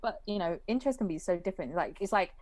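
A woman's voice: on the low side, expressive, quick pace, darkish tone, no echo. A young British woman hosting a podcast over a video call, chatting casually, laughing often and acting out quoted lines. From Britain. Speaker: podcast host.

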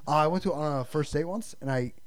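A man's voice: fairly high pitch, fast talker, a crowd in the background. A young man co-hosting a casual comedy chat who keeps riffing into goofy bits. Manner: goofy, riffs into impressions. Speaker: podcast co-host.

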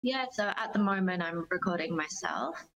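A woman giving considered, measured answers in a conversational interview as a singer-songwriter guest. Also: on the low side, lively intonation, fairly bright tone, lo-fi sound. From Australia.

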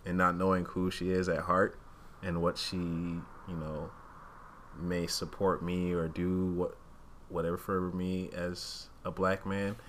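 A man's voice: low voice, level tone, a little slow, a bit bright, a little hiss or hum. A man speaking casually and candidly as a podcast co-host, thinking aloud and often hedging as he reasons through his points. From Uganda-born, raised in Rwandan culture.

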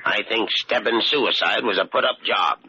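An actor playing a suspicious, resolute tough guy with rough, ungrammatical speech in an old-time radio thriller. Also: lowish voice, normal speed, natural voice. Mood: uneasy, suspicious. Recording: muffled, noisy old radio broadcast.

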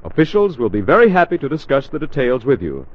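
A man's voice: medium pitch, wildly swooping pitch, quick pace, highs cut off. An American man reading a script as a 1940s radio announcer, formal and carefully enunciated, on an old broadcast recording.

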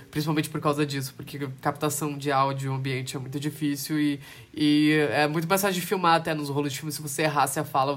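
Brazilian guy on a podcast, kinda high voice, rambling about films, keeps laughing and cutting himself off. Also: fairly bright tone. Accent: Brazilian Portuguese. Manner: laughs often, trails off mid-sentence. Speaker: podcast co-host. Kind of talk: casual film chat.

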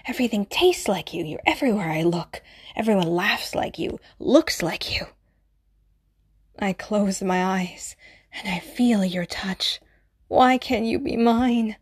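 A woman's voice: medium pitch, breathy edge. A woman voicing an obsessive, possessive stalker who kills for love, speaking intimately and often in a menacing whisper in an audio roleplay.

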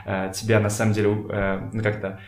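Young man, lowish pitch, casual storytelling, informal slangy phrasing, thinking aloud, roomy home echo. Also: monotone, brisk. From Kokshetau, Kazakhstan. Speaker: podcast guest, photographer and art director. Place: indoors in a home. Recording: echoey room.

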